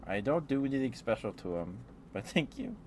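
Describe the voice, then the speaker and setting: A man chatting in a rambling, affectionate ASMR style to live viewers, speaking softly into a close microphone. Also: medium pitch, very animated, brisk. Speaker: ASMR livestreamer. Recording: clear background noise.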